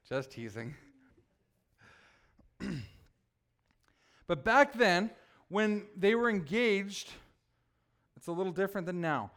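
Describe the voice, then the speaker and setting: A man preaching a relaxed, conversational sermon, joking with his congregation and teasing people by name. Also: sits high, extremely slow, long pauses. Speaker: pastor.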